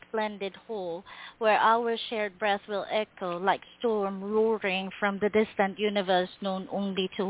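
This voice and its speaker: A woman calling in to read her poetry aloud, slow and deliberate. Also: medium pitch. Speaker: caller reading poetry. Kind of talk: spoken word poetry reading. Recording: tinny phone line.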